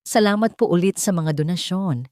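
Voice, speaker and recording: A woman's voice: lowish voice, moderate pace, dark tone, dry recording, clean studio sound, lightly acted. A woman voicing a kindly, grateful old woman who runs an orphanage, in an earnest storybook drama manner.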